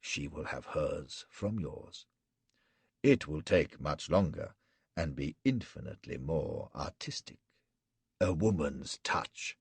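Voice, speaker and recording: A man voicing a cruel, mocking male warlord in an audiobook, speaking with slow, measured menace in a gravelly, husky voice. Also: very deep voice, expressive, no room sound, full character voice. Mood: menacing. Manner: slow, gloating, measured threats. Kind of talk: audiobook character reading.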